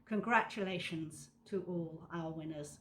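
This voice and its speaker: A woman with a slightly low voice presents an online awards event. She delivers a scripted speech of thanks in the role of presenter. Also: measured pace, a little hiss or hum.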